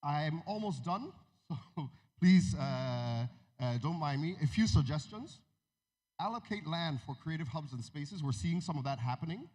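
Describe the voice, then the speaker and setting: A man's voice: fairly high pitch, animated, a little slow, darkish tone, breathy edge. Nigerian man giving a keynote in a reverberant hall. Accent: American-tinged Nigerian English. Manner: conversational, asks the audience questions, jokes asides. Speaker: keynote speaker. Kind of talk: conference keynote talk. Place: large conference hall.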